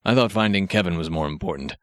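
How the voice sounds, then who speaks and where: Skeptical, worried heavyset townsman, played by a male narrator. Lower pitch, matter-of-fact delivery, practical grumbler, dry audiobook sound. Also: quick pace, no echo, lightly acted.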